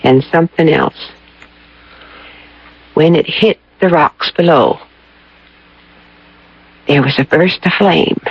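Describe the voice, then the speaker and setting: High, breathy, haunted witness. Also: male voice, slow, long pauses, full character voice. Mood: shaken. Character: tense male witness recounting supernatural horror. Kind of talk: old-time radio acting. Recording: muffled old radio recording.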